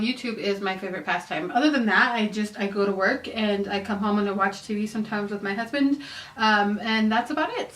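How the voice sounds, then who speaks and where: A woman's voice: a little high, fairly bright tone. A woman in her late thirties from California, chatting casually through a question-and-answer vlog, rambling with lots of "um" and "like". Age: 30s. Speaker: YouTuber. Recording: roomy echo, mic at a distance.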